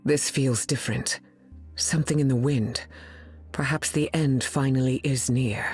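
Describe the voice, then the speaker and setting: Regal weather goddess for a game: solemn, measured, foreboding. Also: female voice, very deep voice, fairly even intonation, a little mellow, husky, faint music behind, heavily acted.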